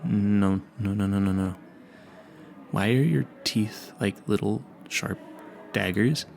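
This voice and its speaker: A man's voice: fairly low pitch, slow, gravelly, a little mellow. A man playing a clueless, earnest vampire hunter in an audio roleplay, stammering and rambling in a politely formal, pleading way. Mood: alarmed, dazed. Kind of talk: intimate audio roleplay addressed to the listener.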